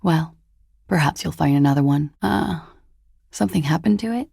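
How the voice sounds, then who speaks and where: Casting: hungover, guarded young disco dancer for a game. Mellow, dark tone, close dry sound, wry trailing delivery. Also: female voice, low voice, normal speed, gravelly, slightly rough, no echo, full character voice. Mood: indifferent. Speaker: voice actor in a video game. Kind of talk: video game character dialogue.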